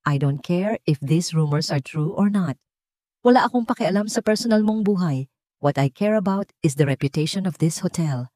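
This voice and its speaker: A woman's voice: on the low side, expressive, moderate pace, dark tone, dry recording, studio quality, close to an everyday voice. A woman voicing a strict male hotel general manager in an audio story, stern, formal and emotionless. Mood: dismissive.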